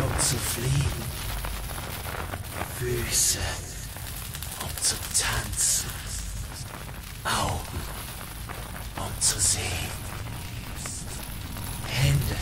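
An actor playing an ancient, weary, captive alien creature in a fantasy audio drama, speaking in solemn incantation. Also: male voice, mid-range voice. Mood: weary, fading. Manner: very slow, solemn, incantatory delivery. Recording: loud music under the voice.

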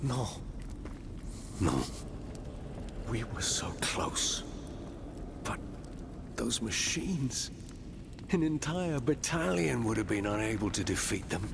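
An actor playing a proud, defeated French army officer in a dramatic video game cutscene. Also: male voice, mid-range voice, sing-song, takes it slowly, vocal fry, pauses often, noisy background, full character voice. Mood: shaken, defeated. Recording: game music behind the voice.

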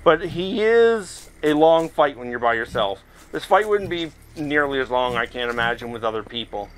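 A man's voice: mid-range voice, animated, normal speed, fairly bright tone, low-quality recording. A man hosting a gaming video, giving casual, chatty commentary and thinking aloud as he plays. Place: indoors, playing in a VR headset in front of a camera. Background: game music.

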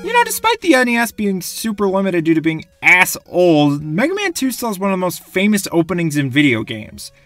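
Lively high-pitched young man narrating. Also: fairly quick, hardly any pauses. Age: young adult. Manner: animated, wry, casual swearing. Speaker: video essay narrator. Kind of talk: scripted comic narration about video games. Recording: dry voice-over with music behind.

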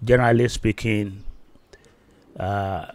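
A man in his fifties with a Nigerian Yoruba accent, giving a solo teaching video into a close desk microphone, slow and halting with many fillers, growing louder and more forceful as he goes on. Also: fairly low pitch, steady tone, no echo. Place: small indoor room.

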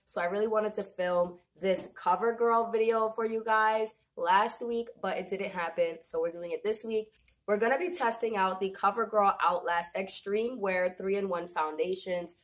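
A young woman with a slightly raspy voice, chatting informally to camera as she gives a casual beauty product review indoors. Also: medium pitch, steady tone, moderate pace. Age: young adult. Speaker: beauty vlogger. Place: small indoor room, plain backdrop.

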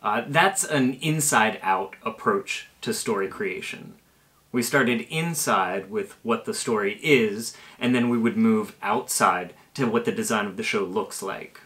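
A man's voice: on the low side, measured pace, a bit bright. A man, presenting a conversational how-to video in a home kitchen. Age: 30s. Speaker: puppetry presenter.

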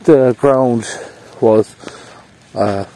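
A man's voice: mid-range voice, extremely slow, dark tone. Chatty man vlogging outdoors. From Ireland. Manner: chatty and rambling, playful sing-song bursts. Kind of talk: casual walking vlog. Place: outdoor park path in falling snow. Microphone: phone held at arm's length. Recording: faint outdoor ambience.

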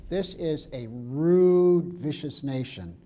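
A man's voice: fairly high pitch, takes it slowly, dark tone, a little hiss or hum. An older man speaking emphatically on a panel, telling personal stories with wry asides, in a large wood-paneled hall. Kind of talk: passionate panel talk.

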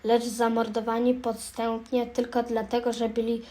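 A young woman reading solemn scripted narration with deliberate, formal phrasing. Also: on the high side, monotone, warm tone. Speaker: speaker in a commemorative radio play.

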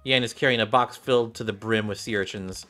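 A man's voice: lowish voice, steady tone, fairly quick, pushed and bright. Man casually reading game text, music behind. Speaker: streamer reading out game dialogue. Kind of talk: casual read-aloud of visual novel text. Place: indoor room at a gaming setup. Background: game music, keyboard clicks.

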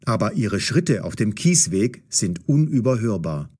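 A man narrating a scripted story with clear enunciation. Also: on the low side, sing-song, unhurried, darkish tone.

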